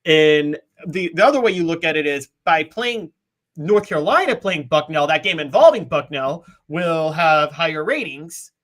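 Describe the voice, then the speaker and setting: A man's voice: fairly high pitch, expressive, moderate pace, fairly bright tone. A man in his thirties co-hosting a sports talk show, opinionated and analytical, explaining his points at length. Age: 30s.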